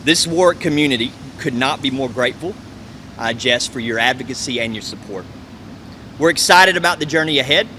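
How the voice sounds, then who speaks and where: A bright, forceful male voice reads a prepared press conference speech. He is formal and measured, at middling pitch, with faint noise behind him. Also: lively intonation. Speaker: community sports centre representative. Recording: faint ambient hum and noise.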